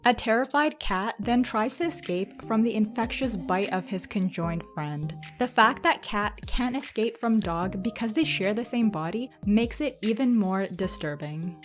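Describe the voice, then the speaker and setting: A woman's voice: mid-range voice, animated, normal speed, few pauses. A woman narrating a scripted countdown with dramatic storytelling delivery, as the host of the video. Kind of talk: scripted narration about cartoons. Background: music behind the voice.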